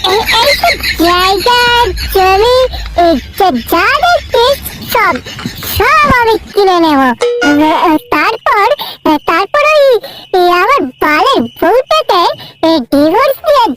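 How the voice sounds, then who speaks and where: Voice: very high-pitched, expressive, slow, exaggerated voice, low-quality recording. A performer voicing a boastful, foul-mouthed drunk man in a comic cartoon, slurring and repeating himself as he blusters, with music behind. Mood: grandiose. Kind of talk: exaggerated comic cartoon dialogue. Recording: loud music bed behind voice.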